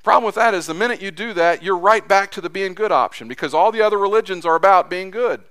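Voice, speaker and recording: A man preaching a sermon in a church, impassioned, his voice climbing high as he acts out quotes, then dropping low and soft. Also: quick pace, smooth. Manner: impassioned, voice rising high when acting out quotes. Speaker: pastor.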